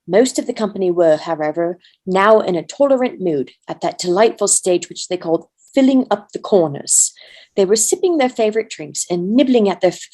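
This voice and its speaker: A woman reading a fantasy story aloud as a volunteer, in measured narration. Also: mid-range voice, a little mellow. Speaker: volunteer reader.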